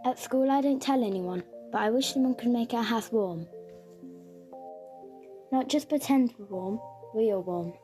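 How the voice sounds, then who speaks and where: A woman voicing a young girl who earnestly tells a gentle storybook tale about her home. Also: fairly high pitch, a little slow, long pauses, lightly acted. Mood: wistful. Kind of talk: scripted storybook narration. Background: music.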